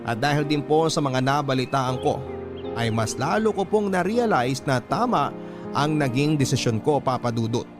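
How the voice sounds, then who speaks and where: Male radio storyteller over prominent music. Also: mid-range voice. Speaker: radio host reading listener letters. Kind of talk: dramatic scripted letter narration. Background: music bed.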